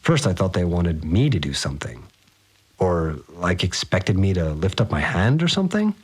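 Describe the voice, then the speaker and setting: A man's voice: low voice, very animated, normal speed, husky, heavily acted. An actor playing a slangy, rattled fixer who tells his story informally in an audio drama. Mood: uneasy. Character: rattled, slangy male fixer recounting events. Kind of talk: first-person audio drama monologue. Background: music.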